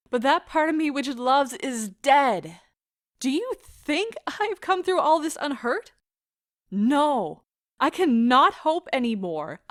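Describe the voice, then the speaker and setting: Actress doing heartbroken woman pleading w/ her lover, high voice, slow dramatic delivery, audio play. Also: no echo, clean studio sound. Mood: despairing. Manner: theatrical, impassioned, deliberate delivery. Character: anguished, pleading woman leaving a broken love affair. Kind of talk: stage-style audio drama acting.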